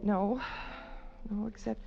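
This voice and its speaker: A woman's voice: medium pitch, fairly even intonation, slow pace, creaky. An actress playing an anxious, refined young married woman in a 1940s radio drama, earnest and hesitant in manner. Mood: worried, urgent. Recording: dull old radio recording, faint music.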